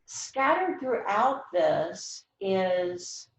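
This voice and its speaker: A woman talking as a host in a reflective, conversational storytelling style, repeating phrases for deliberate dramatic emphasis. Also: mid-range voice, sing-song, echoey room, poor audio. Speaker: host introducing and discussing a book.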